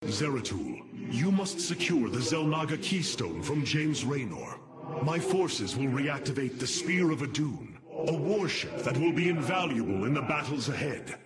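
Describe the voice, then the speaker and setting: An actor voicing a noble young alien commander in a video game, formal, stately and earnest, burdened yet resolute. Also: male voice, medium pitch, very animated, normal speed, over a noisy crowd, close to an everyday voice. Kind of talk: dramatic video game voice acting. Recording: loud music behind.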